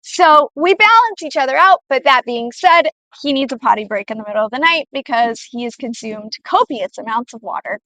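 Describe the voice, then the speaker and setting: A woman's voice: sits high, expressive, fairly quick, few pauses, sharp tone, no echo, rough recording. An American woman co-hosting a live show in casual chat, playful, teasing and emphatic. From born in Poland, raised in the United States.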